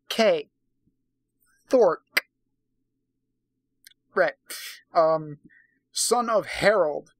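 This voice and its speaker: A man's voice: sits high, no echo, low-quality recording. A tipsy man hosting a casual comic read-aloud, narrating and breaking off for sweary, sarcastic commentary. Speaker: host, narrator.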